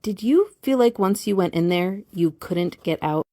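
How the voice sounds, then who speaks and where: Mellow, dry-voiced actress as a haunted relative. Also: female voice, mid-range voice, expressive, normal speed, no room sound, close to an everyday voice. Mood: uneasy. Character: family member uneasy about a haunted house. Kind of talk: audio play dialogue.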